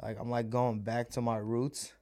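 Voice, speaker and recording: A man's voice: mid-range voice, little pitch movement, normal speed, warm tone. A young man whose first language was Malayalam, chatting casually on a podcast in a laid-back, slangy way and laughing often. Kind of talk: casual chat.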